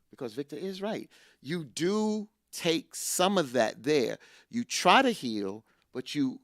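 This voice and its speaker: A middle-aged man in a relaxed conversation, telling his own story in an animated way and doubling back on key lines to drive them home. His voice sits in an ordinary middle range, recorded very dry and close. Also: medium pitch, no room sound. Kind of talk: casual chat, personal storytelling.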